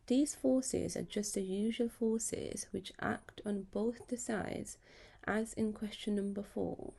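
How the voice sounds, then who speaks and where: A woman tutor reading a scripted lesson in a measured, explanatory manner. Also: mid-range voice, gravelly, dark tone. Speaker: physics tutor presenting. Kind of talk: scripted narration of an exam lesson.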